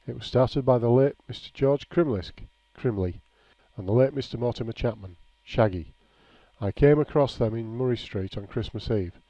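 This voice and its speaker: A man reading a nostalgic written memoir aloud in a measured, plain storytelling way. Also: mid-range voice, warm tone. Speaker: reader of a written local-history account. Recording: dull, lo-fi, echoey room.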